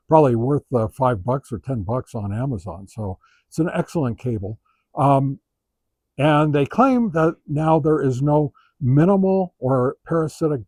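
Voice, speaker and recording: A man giving a casual product review as a monologue, halting and often pausing mid-sentence. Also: mid-range voice, a little mellow, husky, no echo. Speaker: radio gear reviewer.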